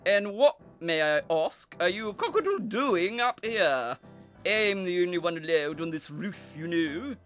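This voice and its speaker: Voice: medium pitch, animated, unhurried, a bit bright, soft background music, voice pushed up. A narrator voicing a huffy, haughty male weathervane cockerel in a children's story, with a pompous, complaining manner.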